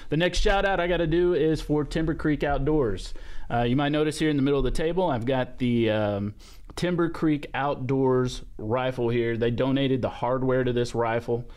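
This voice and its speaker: A man in his thirties hosting a podcast in a studio, reading sponsor shout-outs and asking questions in an easygoing way, with many fillers. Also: medium pitch, normal speed, smooth. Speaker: podcast host. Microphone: studio mic on boom arm.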